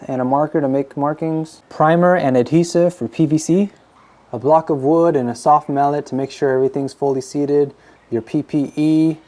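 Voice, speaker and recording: A man's voice: medium pitch, mellow. A man giving scripted how-to narration as a DIY host, in a plain-spoken, instructional manner.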